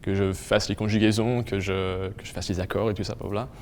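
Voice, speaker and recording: A young man with a Manitoban French accent, telling stories in a lively way, repeating words and slipping into English. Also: fairly low pitch, brisk, a little hiss or hum. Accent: Manitoban French with English influence. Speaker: interviewee. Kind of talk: testimonial talk.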